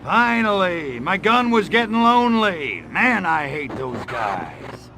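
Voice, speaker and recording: A man playing a cocky, crude, trigger-happy alien invader in a video game, with a gravelly voice and a sarcastic drawl. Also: high voice, very animated, slow pace, noisy background, exaggerated voice. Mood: cocky, joking. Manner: sarcastic, smart-alecky drawl. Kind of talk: video game cutscene acting.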